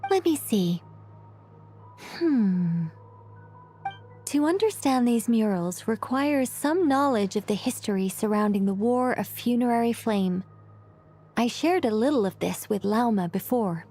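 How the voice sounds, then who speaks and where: A woman playing a gentle, learned sage in a video game, recounting ancient lore in a measured, articulate storytelling manner. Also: fairly high pitch, animated, soft background music. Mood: thoughtful. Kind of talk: scripted video game narration.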